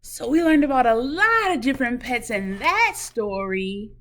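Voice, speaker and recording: A woman preschool teacher leading a children's craft lesson in an animated, sing-song way, asking questions and answering them herself. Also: on the high side, unhurried.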